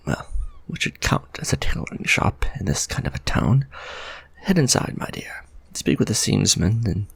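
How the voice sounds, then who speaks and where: A refined, possessive vampire lord, played by a man, muses in formal speech while tenderly addressing the listener. His voice is deeply gravelly and husky, with pitch that swoops widely. This is an ASMR romantic roleplay. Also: no echo, exaggerated voice. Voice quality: gravelly, husky, soft-spoken.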